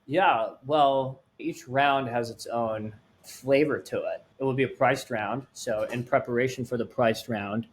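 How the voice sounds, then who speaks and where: A man's voice: mid-range voice, unhurried, husky. A man in his late twenties from Sweden with a light Swedish accent, answering interview questions as a startup founder in an earnest, rambling way. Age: late 20s.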